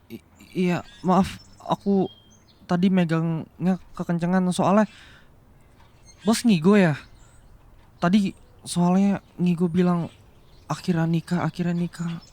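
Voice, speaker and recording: A man's voice: sits high, fairly even intonation, slow pace, pauses often. A young man playing a flustered, devoted younger boyfriend in a romantic audio roleplay, hesitant and tender, over music. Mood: flustered, gentle. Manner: hesitant, stammering, soft and tender. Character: flustered, devoted young man in love with his older female boss. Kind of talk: romantic ASMR roleplay acting. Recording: music behind the voice.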